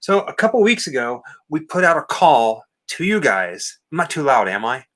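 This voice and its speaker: A man with a booming deep baritone voice, co-hosting a live movie watch party in casual, apologetic chat. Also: very animated, normal speed, a bit bright. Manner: apologetic, chatty, reads out viewer names and thanks.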